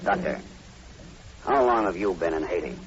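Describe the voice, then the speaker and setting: An actor playing a grim, superstitious plantation overseer with ominous, emphatic delivery in 1940s radio horror style. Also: male voice, low-pitched, animated, normal speed. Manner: ominous, emphatic, dramatic storytelling. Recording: muffled, noisy old radio recording.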